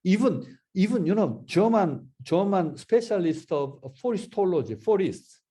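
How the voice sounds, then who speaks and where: Guy in an online seminar q&a, mellow voice, stops and restarts a lot, kinda high for a man. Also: lively intonation, slightly rough. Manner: halting, repeats words, many restarts. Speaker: lecturer answering questions. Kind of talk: academic Q&A discussion. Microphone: video call.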